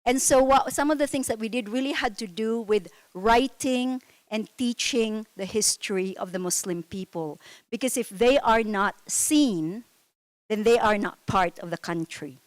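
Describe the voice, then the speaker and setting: A woman's voice: on the high side, moderate pace, dry recording. A middle-aged woman from the Philippines, speaking on a conference panel and telling stories in an earnest, candid, self-critical way.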